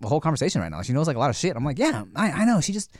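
A man's voice: a little high, very animated, racing, a little mellow, no echo, studio quality. A man co-hosting a podcast, talking in a laid-back way, with lots of fillers and restarts. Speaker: podcast co-host. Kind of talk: casual podcast chat.

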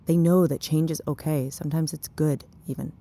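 A woman's voice: deep voice, fairly even intonation, brisk, warm tone, slight background noise, lightly acted. An actress playing a blunt, wry but caring woman in an audio drama, talking in a naturalistic, teasing way. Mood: gentle, frank.

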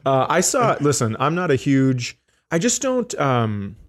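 A man's voice: medium pitch, big pitch swings, a little slow. A man co-hosting a podcast in casual comedic banter, teasing, rambling off on tangents and swearing. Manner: profane, teasing, digressive. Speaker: podcast co-host.

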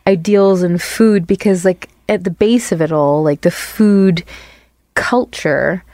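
Young woman from Barbados, guest chef, chatty and giggly, lowish voice, dry close mic. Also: takes it slowly, warm tone, no room sound. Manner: chatty, laughs often, lots of "like" fillers. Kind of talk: casual interview chat.

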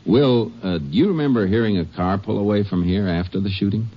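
Male actor as calm Ranger investigator, very low pitch, terse, matter-of-fact, dull vintage radio recording. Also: brisk, faint music behind, close to an everyday voice. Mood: terse, probing. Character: calm, shrewd Texas Ranger investigator, a grown man. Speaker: lead actor and narrator. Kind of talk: 1950s radio western acting.

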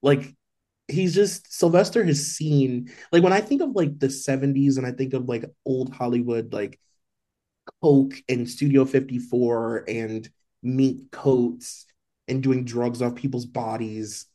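A man's voice: mid-range voice, fairly even intonation, measured pace, mellow. A man co-hosting a podcast, chatting loosely about celebrity gossip and leaning on "like" a lot. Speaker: podcast co-host. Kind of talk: casual celebrity gossip chat.

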